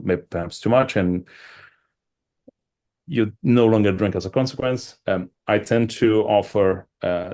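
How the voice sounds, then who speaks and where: A middle-aged man from Brazil with a very low, deep voice, explaining things patiently in an interview. He talks thoughtfully, pausing on "um" and restarting his sentences as he goes, heard over a video call. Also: darkish tone. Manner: thoughtful, with many fillers and restarts. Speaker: wine and whisky shop owner, guest. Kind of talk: interview answers, explaining at length.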